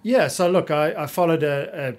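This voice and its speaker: A middle-aged man from South Africa with a South African accent, a guest coach telling his career story in a measured, reflective way in a small podcast studio on a studio mic. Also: a little high, a little mellow. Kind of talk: casual podcast conversation. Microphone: studio mic on boom arm.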